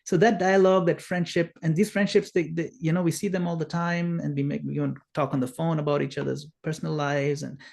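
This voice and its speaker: Middle-aged man from Kenya, gravelly and earnest, on a video call, explaining patiently. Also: a little high, steady tone, fairly quick, hardly any pauses, darkish tone. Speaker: guest speaker. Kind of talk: informal spiritual talk.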